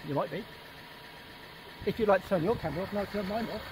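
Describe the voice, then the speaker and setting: Man in his forties, police officer, rapid talk, higher voice, overly polite, outdoor street at dusk, faint background hiss. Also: rough recording. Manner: overly polite, repeats times and dates for the record. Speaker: police community support officer. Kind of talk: on-duty street confrontation.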